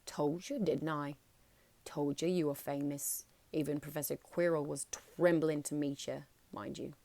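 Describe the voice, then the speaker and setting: A woman's voice: sits low, fairly even intonation, measured pace, dark tone, dry recording. A woman voicing a big, good-natured man in a storybook, talking in a blunt, folksy, hearty way. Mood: chatty, fond.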